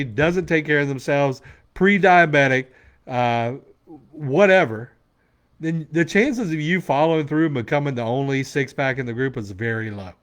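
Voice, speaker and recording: A man in his forties with a somewhat raised pitch, coaching a room of listeners in a motivational Q&A. He talks bluntly and emphatically, with plenty of cursing. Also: a bit bright. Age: 40s. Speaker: men's coach. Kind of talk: motivational Q&A talk.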